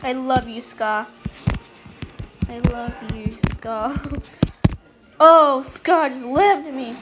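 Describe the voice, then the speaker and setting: A woman's voice: high voice, steady tone, drawn-out words, over loud music. A woman giving casual, playful and silly gameplay commentary, reading out her roleplay as she goes. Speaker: video game streamer.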